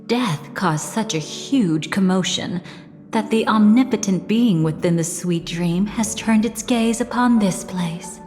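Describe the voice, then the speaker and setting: An actress as a cunning, protective young woman from a story-driven video game. She speaks slowly and knowingly, poised with a hint of playful menace. Her voice rings in a long reverb with clear music behind it. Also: mid-range voice, a little mellow, close to an everyday voice. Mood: cautious.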